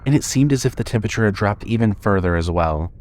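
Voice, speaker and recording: A man's voice: fairly low pitch, expressive, fast, darkish tone, slight background noise. A man reading scripted horror fiction as the narrator of an audio drama.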